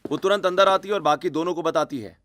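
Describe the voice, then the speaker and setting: A man's voice: a little high, fast, a bit bright. A man reading scripted, dramatic story narration.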